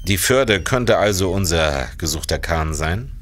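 Policeman, male, for radio drama: deep voice, curt, blunt, short on patience. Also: animated, a little slow, fairly bright tone. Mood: businesslike. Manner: curt, pressing. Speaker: voice actor. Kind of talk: radio play acting.

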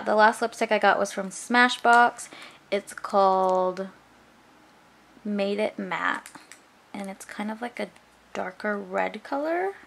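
A woman's voice: medium pitch, fairly bright tone, a little hiss or hum. A young woman in her twenties chatting casually to a handheld camera, upbeat and filling her talk with "like". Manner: chatty, upbeat, says "like" a lot. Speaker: vlogger. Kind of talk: casual vlog chat to camera. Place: home, car and gym.

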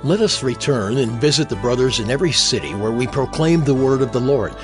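An actor playing a resolute, earnest missionary apostle in a dramatized scripture reading. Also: male voice, mid-range voice, animated, fairly quick, over music, lightly acted. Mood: earnest, urging.

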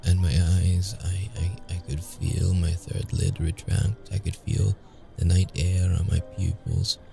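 Man acting a slow, gravelly, brooding vampire. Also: flat delivery, over music, exaggerated voice. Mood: haunted, wondering. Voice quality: gravelly, low, mellow. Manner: slow, halting, intimate delivery. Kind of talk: ASMR audio roleplay acting.

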